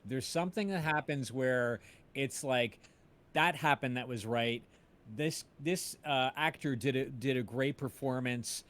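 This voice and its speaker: A man's voice: mid-range voice, unhurried, fairly bright tone. Man in casual panel discussion. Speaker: podcast creator. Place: remote video call.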